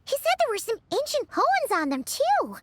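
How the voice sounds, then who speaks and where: Game mascot: eager childlike female companion, squeaky top-register voice, swooping intonation, chatty. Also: huge pitch swings, moderate pace, soft background music, heavily acted. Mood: eager, curious. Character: tiny, chirpy, childlike female floating companion. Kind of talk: scripted video game dialogue.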